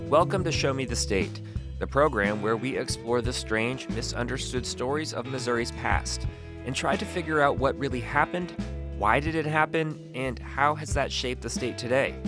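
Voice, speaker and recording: A man's voice: medium pitch, normal speed, over music. A man hosting a history podcast, reading scripted narration with dramatic, playful storytelling. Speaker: podcast host.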